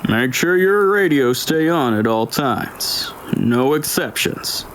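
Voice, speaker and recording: A man voicing an aged, stern and cautious crew leader giving deliberate, commanding instructions in an audiobook drama. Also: mid-range voice, lively intonation, fairly bright tone, slight background noise, voice pushed up, low-quality recording. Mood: firm.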